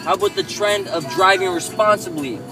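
Man, thirties, high voice, lecturing rant from the driver's seat, dash cam, music under it. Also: steady tone, fairly quick. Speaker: vlogger. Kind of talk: ranting vlog while driving. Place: inside a moving car. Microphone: camera mounted on the dashboard.

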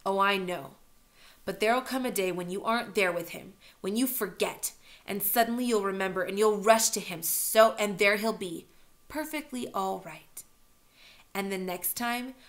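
A woman's voice: mid-range voice, natural voice. A woman voicing a cruel, taunting older boy in an audiobook, who drops to a whisper at times. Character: menacing, mocking older boy, a cruel bully.

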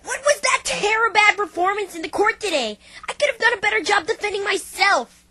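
A woman's voice: very high voice, expressive, brisk, sharp tone, dry recording, studio quality, heavily acted. A woman voicing a brash, melodramatic cartoon pony with wounded pride and a blunt manner. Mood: scornful.

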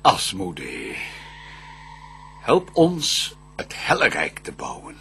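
Vengeful fallen angel in a radio play, super raspy, grandiose, swings way up and down. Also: male voice, low voice, wildly swooping pitch, slow, sharp tone, heavily acted. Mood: bitter, vengeful. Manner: declamatory, grandiose. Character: vengeful banished devil, proud, scheming, fallen angel. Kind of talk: theatrical verse radio drama.

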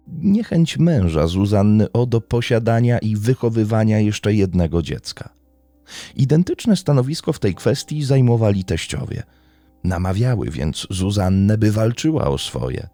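A man narrating a scripted true-crime story in a measured storytelling manner with dramatic pauses. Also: lowish voice, dark tone, no echo.

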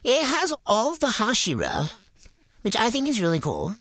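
A teenage girl chatting casually while unboxing a haul, gushing and excitable with lots of fillers. Her voice runs through a voice changer effect, recorded on a handheld phone in her bedroom. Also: on the high side, moderate pace, no room sound. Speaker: vlogger showing a haul.

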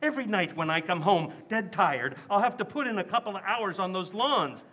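A man's voice: high-pitched, brisk, muffled recording, heavily acted. An actor playing a blustering, scheming working-class father in a recreated old-time radio comedy. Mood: complaining. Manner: blustery, excitable.

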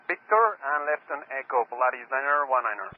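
A man's voice: medium pitch, a little fast, slight background noise. A man, an airline pilot, making calls to the tower over aviation radio. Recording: dry narrow-band cockpit radio.

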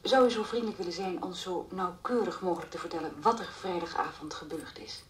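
Beleefde, zakelijke politie-inspectrice gespeeld door een vrouw. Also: female voice, fairly low pitch, fairly quick, slight background noise, natural voice. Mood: careful, composed. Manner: polite, formal, careful. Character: tactful, methodical female police inspector interrogating. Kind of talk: radio drama acting.

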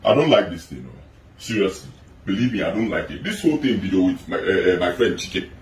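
A man's voice: low voice, expressive, brisk, a little mellow. A middle-aged man with a Nigerian accent and a big voice, pressing his point in a heated argument at home, repeating his questions. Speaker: husband in a couple video. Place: indoors at home. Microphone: phone camera in a split-screen video. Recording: echoey, from a distance.

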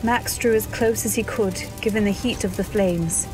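An actress playing a wistful, refined young wife narrating her memories in an old-time radio drama, measured and literary. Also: mid-range voice, music in the background, natural voice. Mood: tense narration.

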